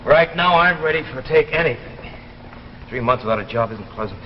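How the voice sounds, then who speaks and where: An actor playing a frustrated, defensive out-of-work engineer with an American accent and a halting manner, in 1950s science fiction drama style. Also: male voice, medium pitch, expressive, natural voice. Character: frustrated unemployed married engineer, defensive and hesitant. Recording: muffled, noisy 1950s broadcast recording.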